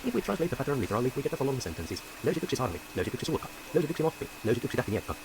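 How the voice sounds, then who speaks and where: Man, Finnish accent, tutorial narration, fast talker, lively pitch, steady hiss behind. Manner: careful, explanatory, stumbles on words. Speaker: programmer presenting a video.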